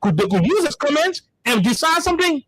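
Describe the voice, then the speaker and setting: A man's voice: extremely high pitch, very animated, a little fast, a bit bright, low-quality recording. A man from Liberia with a Liberian English accent, hosting a radio talk show with heated political commentary and repeating words as he searches for them. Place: radio studio.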